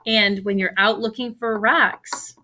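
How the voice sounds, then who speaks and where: A woman reading a picture book aloud to children expressively, with deliberate emphasis on key phrases. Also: medium pitch, fairly even intonation, sharp tone. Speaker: read-aloud storyteller for children. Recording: faint background noise.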